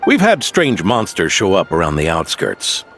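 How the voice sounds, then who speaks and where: A man voicing an aging, stubborn veteran knight near retirement, genial and gruff, in a game cutscene. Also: deep voice, huge pitch swings, moderate pace, fairly bright tone, faint music behind, full character voice. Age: older.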